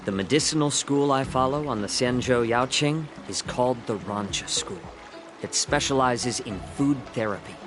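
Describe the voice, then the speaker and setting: A man playing a suave, genteel and slightly sly healer and cook in a video game, speaking politely and eloquently in measured, lecturing phrases. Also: medium pitch, over music, natural voice. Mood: genial lecturing. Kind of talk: scripted video game voice acting.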